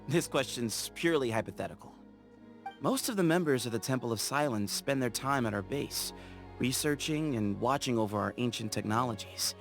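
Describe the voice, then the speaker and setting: An actor voicing an easygoing, playful young explorer in a game, chatty and teasing, laughing easily. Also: male voice, mid-range voice, vocal fry. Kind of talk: game character voice lines.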